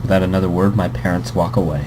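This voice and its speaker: Guy reading a visual novel out loud for his let's play, lowish voice, keeps tripping on words, ac humming loud. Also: fairly even intonation, fast. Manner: stumbles and restarts words, chuckling asides. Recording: air conditioning hum behind.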